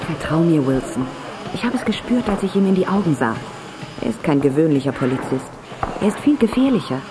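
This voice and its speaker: A woman's voice: sits low, big pitch swings, fairly quick. An actress playing a scheming, commanding female villain in a radio play, imperious and intense. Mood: grave, calculating. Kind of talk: German radio play acting. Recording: noisy cassette radio play.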